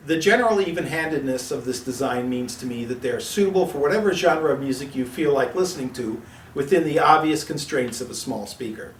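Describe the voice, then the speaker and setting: Guy reading a scripted review, kinda echoey and off the mic, measured and articulate. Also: on the high side. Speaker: product reviewer and presenter. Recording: echoey room, distant mic, music behind.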